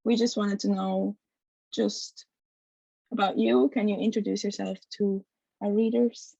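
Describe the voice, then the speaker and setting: A woman's voice: medium pitch, fairly even intonation, a little slow, gravelly, mellow, no room sound, highs cut off. A woman interviewing a guest, asking friendly but hesitant questions with frequent fillers.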